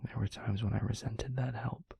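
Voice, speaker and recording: A gentle vampire boyfriend, played by a man, speaks in a very breathy, husky near-whisper. His low voice is soft and mellow, with a slow, halting, tender delivery suited to intimate audio roleplay, recorded close and dry. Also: steady tone, no echo, full character voice. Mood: hesitant, confessional. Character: gentle, devoted male vampire boyfriend, earnest and soothing.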